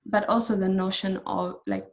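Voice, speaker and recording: Woman, native German speaker, gravelly voice, thoughtful, halting. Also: medium pitch, fairly even intonation. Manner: thoughtful, halting, soft laughs. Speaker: artist guest. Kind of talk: casual conversation.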